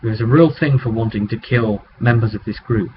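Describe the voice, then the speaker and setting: A man talking casually and haltingly as a game master recapping a tabletop game, rambling with conspiratorial asides. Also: mid-range voice. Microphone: webcam microphone. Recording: muffled webcam mic with background noise.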